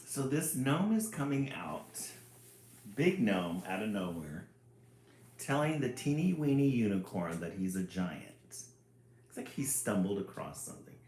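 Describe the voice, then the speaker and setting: Slow, animated man reading a storybook. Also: on the low side. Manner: chuckling, expressive. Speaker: read-aloud host. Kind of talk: casual storybook read-aloud with asides. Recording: roomy echo, some background noise.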